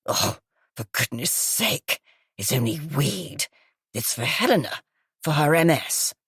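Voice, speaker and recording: A woman voicing a groggy older woman with a croaky, gravelly, smoky voice. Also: sits low, very animated, slow, fairly bright tone, no room sound, studio quality, pitched below the performer's own voice, exaggerated voice.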